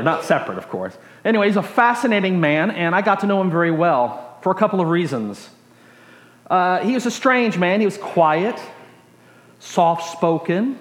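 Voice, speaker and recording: American guy preaching, kinda high voice, tells stories w dry little asides, lots of room echo. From Texas, USA. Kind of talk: sermon storytelling. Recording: echoey room.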